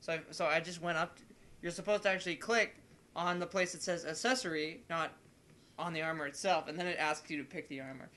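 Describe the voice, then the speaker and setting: A young man with a notably high, bright and forceful voice, talking as a podcast host among friends. He rambles casually and excitably, giggling through his stories and slipping into acted-out quotes. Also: brisk. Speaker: podcast host and video maker.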